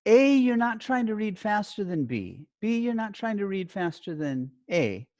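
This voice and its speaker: A teacher leads a lesson with simple, step-by-step directions. The pitch moves expressively up and down while the delivery stays slow and deliberate. The sound is dry, with little room in it. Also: big pitch swings, a little mellow, dry recording. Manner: clear simple explanations with short instructions and check questions. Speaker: teacher training English language teachers.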